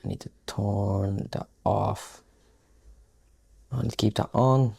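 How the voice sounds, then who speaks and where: A man's voice: fairly low pitch, fairly even intonation, takes it slowly, vocal fry, breathy edge. A man presenting a casual music production tutorial, thinking aloud in an informal, muttering way as he works. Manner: informal, muttering to himself while working. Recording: electronic house music playing loudly. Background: loud electronic house music.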